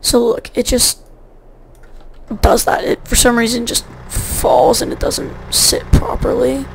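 Woman, gameplay commentary, rambling and chatty, sore gravelly voice. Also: a little high, level tone, takes it slowly, slight background noise. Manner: rambling, self-correcting, chatty. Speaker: gaming YouTuber.

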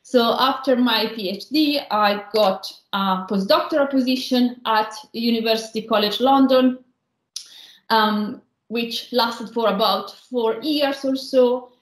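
A woman from northern Italy with a strong Italian accent, giving an online lecture as a senior lecturer, explaining slowly with many pauses. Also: a little high. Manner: measured, explanatory, frequent um and uh pauses. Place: indoor room, remote video call. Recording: roomy echo.

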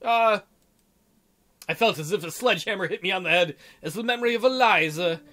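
A man's voice: high voice, a little fast, fairly bright tone. A man hosting a game playthrough, reading the story aloud and adding goofy, crude joking asides. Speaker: gameplay host reading a visual novel aloud.

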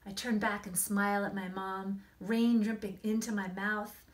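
A woman in her forties, an author reading and explaining things to children in an expressive, emphatic storytelling manner. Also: mid-range voice, normal speed. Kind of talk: expressive picture-book read-aloud and explanation to children. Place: small indoor room against a plain wall.

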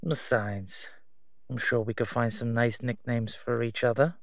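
Man playing a slow, sly crime boss. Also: mid-range voice, fairly even intonation, creaky, no echo, clean studio sound. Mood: sly, flirtatious. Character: smooth-talking, flirtatious, sly male crime boss. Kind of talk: audio roleplay acting.

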